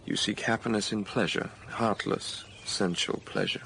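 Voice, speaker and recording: An actor playing a commanding, brooding gentleman with a dark secret in a period radio drama, speaking tersely. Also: lowish voice, fairly even intonation, normal speed, a little hiss or hum, rough recording. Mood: confiding, troubled.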